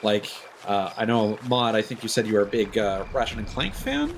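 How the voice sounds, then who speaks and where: Opinionated streamer guy over clear background noise. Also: lowish voice, very animated, moderate pace. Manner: opinionated, rambling. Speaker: live streamer hosting a game stream. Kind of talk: casual livestream chat.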